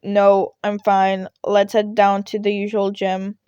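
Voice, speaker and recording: A woman voicing a tired, grumpy male teacher with a deadpan delivery in a fan fiction reading. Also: medium pitch, slow pace, clear, resonant tone, no room sound, highs cut off, natural voice. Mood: matter-of-fact.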